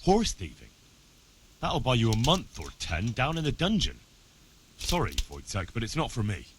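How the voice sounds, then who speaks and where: A man's voice: mid-range voice, huge pitch swings, normal speed, slight background noise. A man playing an earnest, plucky young refugee in a medieval video game, plain-spoken and direct. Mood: frank, wary. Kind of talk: dramatic video game dialogue. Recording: music behind the voice.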